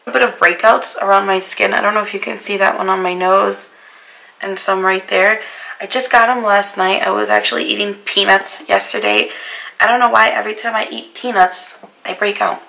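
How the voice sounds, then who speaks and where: A young woman talks through her makeup routine at home, her voice worn hoarse and raspy by a cold. It carries a deep, gravelly fry yet stays bright and forward. She speaks casually to the camera and keeps apologizing for how she sounds. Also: fairly even intonation, moderate pace, poor audio. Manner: chatty, apologetic about her voice. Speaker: beauty vlogger. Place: bedroom vanity, indoors.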